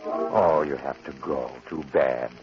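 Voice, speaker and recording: An actor playing a sinister, genteel doctor who tells macabre tales, ominous and mock-polite, in 1940s radio horror style. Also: male voice, rumbling low, slow, music in the background. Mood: slyly menacing. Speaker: host narrator. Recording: dull 1940s radio recording.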